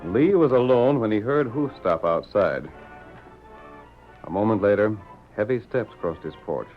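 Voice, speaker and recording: An actor as a dramatic narrator of an old radio western, reading a script. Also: male voice, fairly low pitch, expressive, measured pace, music in the background. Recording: dull old radio recording.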